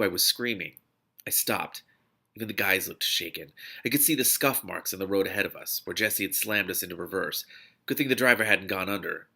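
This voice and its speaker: A man with a lowish pitch and a forceful, bright edge reads a novel aloud. He is animated and expressive, readily stepping out of the text to react with excitement, as the host of a read-along. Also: gravelly, husky.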